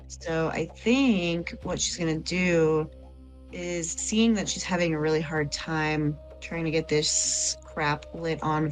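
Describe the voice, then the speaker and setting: A woman playing a tabletop game, chatty and rambling as she describes her character's actions. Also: sits low. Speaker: player. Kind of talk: casual tabletop play.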